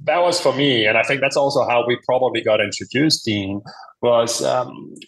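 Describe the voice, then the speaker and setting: A man's voice: mid-range voice, moderate pace, a bit bright, low-quality recording. A man, talking in an emphatic, informal way and often checking in with "right?" as he explains things. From Denmark. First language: Danish. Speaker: podcast guest, hearing aid company executive. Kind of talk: casual conversational explaining.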